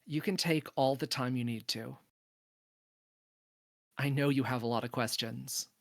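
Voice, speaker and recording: A woman playing a wise, protective space probe in a podcast table read, speaking in a measured, firm, reassuring manner. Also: extremely low pitch, dry recording, studio quality. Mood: tender, reassuring.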